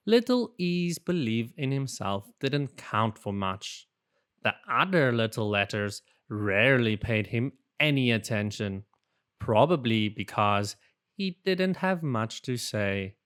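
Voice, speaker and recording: A storybook narrator reads for young children with very lively intonation. The pace is slow and deliberate, and the voice is smooth and clear, made for expressive storytelling. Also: mid-range voice. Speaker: storybook reader. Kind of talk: animated children's read-aloud narration.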